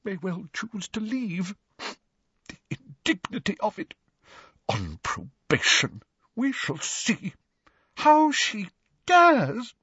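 Storybook seer-teacher, older woman played by a man: shrill, throbbing, self-pitying grandeur, wildly swooping pitch. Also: expressive, slow pace, a bit bright, slightly rough, no room sound, dull audio, exaggerated voice. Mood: outraged. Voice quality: high-pitched, wavering, throbbing. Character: hysterical, theatrical older female divination teacher.

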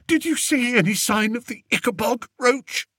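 A shrill, cowardly king. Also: moderate pace, a bit bright, breathy edge, no room sound, clean studio sound, full character voice. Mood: whimpering. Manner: high shrill wailing, stammering. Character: cowardly pompous king, panicky man. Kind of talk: audiobook character voice.

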